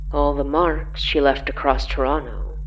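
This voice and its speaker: A narrator delivering scripted horror fiction in an ominous, deliberate way, pausing between short phrases. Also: mid-range voice, flat delivery, lo-fi sound, a little hiss or hum.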